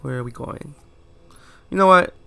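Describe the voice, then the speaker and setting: A man's voice: a little high, moderate pace, creaky, pushed and bright, clean tone. A man giving post-recorded commentary over gameplay, chatty and rambling, often asking viewers questions. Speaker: let's play host.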